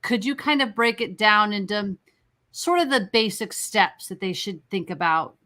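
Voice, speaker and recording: A woman's voice: medium pitch, animated, normal speed, pushed and bright. A woman moderating a conversational interview, framing her questions with informal, emphatic commentary.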